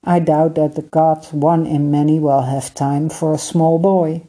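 A woman voicing a patient, kindly male sky god who calmly explains things in a storybook reading. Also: deep voice. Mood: patient, explaining. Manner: calm, unhurried, gently explaining. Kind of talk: storybook read-aloud.

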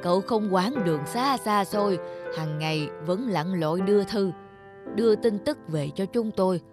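A woman voicing a kindly, grateful old village grandmother in an animated folk tale, speaking in a homely, insistent, unhurried way. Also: medium pitch, over music, lightly acted. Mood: grateful, earnest.

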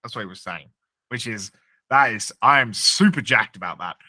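A man co-hosting a casual sports podcast, excitable and quick to laugh. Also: fairly low pitch, no echo. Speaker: podcast co-host.

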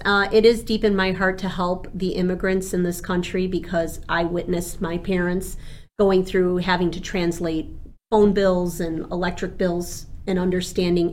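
A woman from Argentina whose first language is Spanish, an insurance agent talking as a guest in a lively, chatty way and laughing easily. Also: lowish voice. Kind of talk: interview guest, chatty and upbeat.

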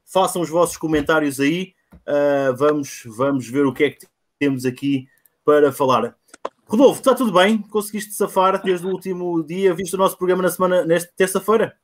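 A man's voice: a little high, moderate pace. Chatty podcast host, a man. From Portugal. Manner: chatty and self-mocking, many fillers. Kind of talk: casual live-stream chat.

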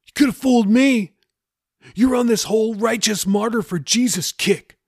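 A man's voice: sits high, moderate pace, vocal fry, a little mellow, no room sound, studio quality, pitched above the performer's own voice. A man voicing a desperate young male captive sailor in an audiobook, pleading and agitated in slangy speech. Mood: angry, desperate.

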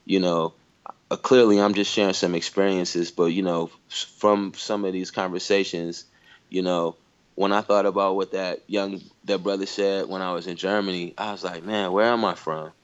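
A man's voice: low-pitched, little pitch movement, normal speed, smooth, muffled recording. A man from Michigan in a casual conversation, thinking aloud with lots of "you know" and "like". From Michigan, USA. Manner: reflective, many fillers like "you know".

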